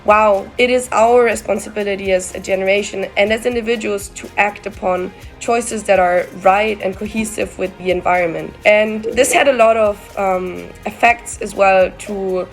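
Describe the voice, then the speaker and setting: A woman's voice: mid-range voice, steady tone, normal speed, hardly any pauses, soft background music. A young woman from Costa Rica giving heartfelt, flowing answers as an interview guest. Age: young adult. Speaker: guest climate activist.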